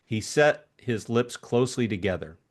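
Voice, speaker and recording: A man narrating a literary audiobook in an expressive storytelling style. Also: mid-range voice, normal speed.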